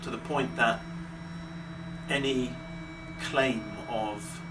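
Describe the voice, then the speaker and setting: A man delivering a deliberate, emphatic monologue of social commentary, pausing between phrases. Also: medium pitch, fairly even intonation. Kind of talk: slow rhetorical monologue. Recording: distant mic, clearly noisy background.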